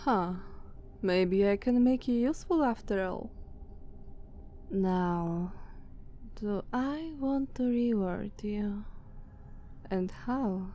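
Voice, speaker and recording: A woman's voice: mid-range voice, slow pace, long pauses, warm tone, a little hiss or hum, lightly acted. A woman with a Slavic accent playing a haughty, dominant, ancient female vampire in an ASMR roleplay, condescending and commanding, sometimes slipping toward a whisper. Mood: teasing, seductive.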